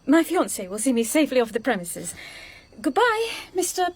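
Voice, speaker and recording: A plucky English secretary in a radio thriller. Her voice is high and breathy, swooping with polite, breezy defiance. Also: big pitch swings, moderate pace. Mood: prim, apologetic. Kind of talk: radio drama acting.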